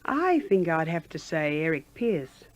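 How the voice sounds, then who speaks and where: A woman's voice: sits low, big pitch swings, measured pace, no echo. Older woman reminiscing chattily. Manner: rambling, conversational recollection. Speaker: former radio announcer, interviewee.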